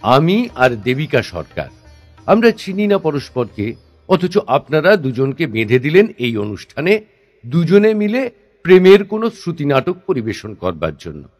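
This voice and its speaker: A man's voice: on the high side, big pitch swings, unhurried. A man acting a proud, teasing, somewhat prickly male stage performer in a theatrical audio drama, full of wry questions. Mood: indignant, perplexed. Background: instrumental background music.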